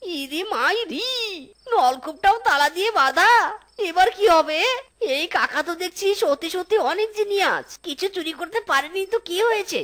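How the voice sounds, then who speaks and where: A woman voicing a squeaky, cocky young cartoon trickster critter. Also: expressive, normal speed, a bit bright. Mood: scheming. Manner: exclamatory, boastful, repeats a catchphrase. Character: high-voiced cocky young male trickster animal, boastful and mischievous. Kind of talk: comic cartoon acting.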